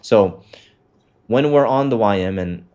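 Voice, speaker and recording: A fairly low-voiced man talks a student through a trading platform step by step. His tone is casual and loose, full of fillers, with the occasional swear dropped in. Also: expressive, unhurried, mellow, dull audio. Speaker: trading coach. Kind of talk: casual walkthrough on a call.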